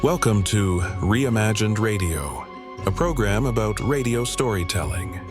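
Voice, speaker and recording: A man announces a radio program from a script. His voice sits on the low side, with clear music playing behind it. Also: expressive, measured pace.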